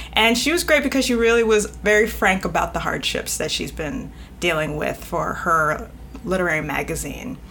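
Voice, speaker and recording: A woman's voice: on the low side, bright, forceful tone, a little hiss or hum. A woman guest editor talking frankly and at length in a casual podcast conversation, trailing off and restarting mid-thought.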